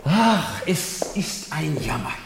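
An actor in a radio play playing a world-weary, theatrical courtier who explains things wryly. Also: male voice, on the high side, sing-song, slow pace, gravelly, exaggerated voice. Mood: lamenting. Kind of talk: 1970s German radio play acting. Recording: echoey hall, noisy old radio recording.

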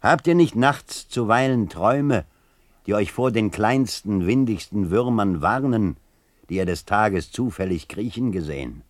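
Slow, low-voiced grave reciter. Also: male voice, animated, no echo. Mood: grave, warning. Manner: deliberate, rhetorical. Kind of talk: literary recitation in a radio play.

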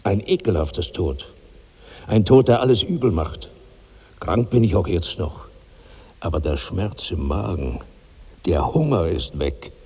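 A man's voice: deep voice, expressive, a little slow, pauses often, warm tone, breathy edge, full character voice. An actor playing a weary, monstrous glutton in his sixties, declaiming morbidly in a 1960s radio play. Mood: morbid, resigned. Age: 60s. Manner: brooding, morbid, weighty delivery. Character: Karnak, monstrous, weary 65-year-old giant glutton. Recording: long reverberant hall.

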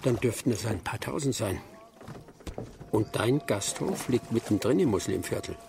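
An actor playing a wary, observant undercover adjutant in a radio crime play set in old China, speaking in a measured, cautioning way. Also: male voice, fairly low pitch, expressive, gravelly, noisy background. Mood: dry, wary.

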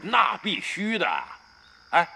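A man's voice: high-pitched, slow pace, gravelly, heavily acted. A man playing a crude, brash veteran gamer, a cocky roguish grumbler, with coarse exclamatory delivery in an audio drama. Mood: smug, cocky. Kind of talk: Mandarin audio drama acting, comic banter. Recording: studio drama with music underneath.

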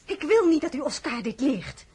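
A woman's voice: sits high, big pitch swings, normal speed, dark tone. An actress in a radio play, playing a spirited young marshal's wife and protective mother. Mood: firm, indignant.

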